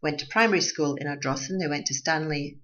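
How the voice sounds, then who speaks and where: A radio host with a mixed Scottish and Durham English accent gives a measured, reflective commentary monologue, often restarting phrases. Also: medium pitch, sharp tone. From Scotland, raised partly in Durham, England. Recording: dull lo-fi recording.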